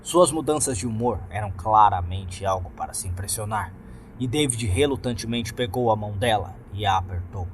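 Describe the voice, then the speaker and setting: A man's voice: lowish voice, normal speed, slight background noise. A man with a Brazilian accent reading a scripted horror story as a dramatic narrator. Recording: music bed behind the voice. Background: background music.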